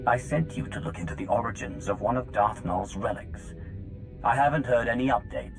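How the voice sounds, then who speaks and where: An actor playing a composed, authoritative commander in a game cutscene, speaking in a direct, serious manner. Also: male voice, mid-range voice, animated, fairly quick, over music, lightly acted, poor audio. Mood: concerned, firm. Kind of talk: video game cutscene acting.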